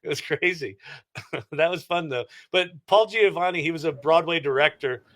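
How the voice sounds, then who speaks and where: A man's voice: a little high, moderate pace, a bit bright. A middle-aged man giving casual, reflective and explanatory answers as an interview guest. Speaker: interview guest, entrepreneur and former actor.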